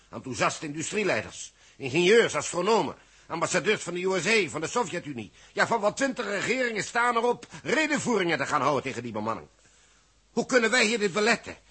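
Worried engineer and father,, high, sharp and husky, earnest pleading manner, vintage radio drama. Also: exaggerated voice. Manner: pressing, earnest. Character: urgent male engineer, worried father of a crew member. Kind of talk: 1960s Dutch science fiction radio play acting.

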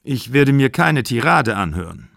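A man voicing a stern older father who runs a small theater, speaking calmly and curtly. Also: medium pitch, normal speed, creaky, no echo, studio quality, heavily acted. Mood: firm. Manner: calm, firm, curt.

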